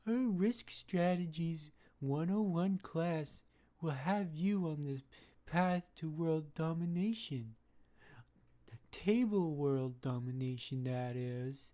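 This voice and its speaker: Woman as a low-voiced cheesy board-game-school pitchman for an audio comedy ad, unhurried. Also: mellow. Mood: salesy. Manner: slow, cheesy sales pitch. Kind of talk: mock radio advert.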